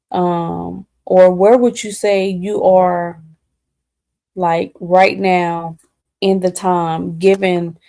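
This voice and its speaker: Woman, American accent, casual co-host chat, slightly low pitch, dry close sound, halting phrasing. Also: creaky, warm tone, no echo.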